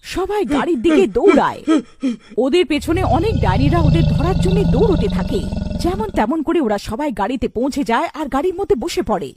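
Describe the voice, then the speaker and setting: A woman reading scripted narration for a children's cartoon story in an animated storytelling style. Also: high voice, a little fast, a little hiss or hum.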